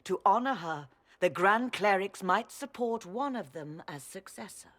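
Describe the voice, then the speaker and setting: An earnest mother of the church in a video game. She speaks at a middle pitch, formally and solemnly, with an insistent, pleading edge. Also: lively intonation, unhurried, fairly bright tone. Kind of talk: video game dialogue acting.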